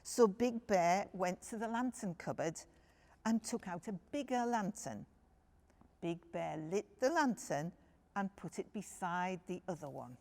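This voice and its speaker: A woman's voice: medium pitch, lively intonation, unhurried, a little mellow. A woman reading a picture book to young children, phrasing things simply and speaking to her listeners directly. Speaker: children's storyteller.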